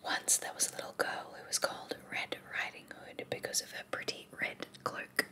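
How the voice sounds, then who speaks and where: A woman's voice: normal speed, bright, forceful tone. Whispering woman reading a bedtime story. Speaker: reader.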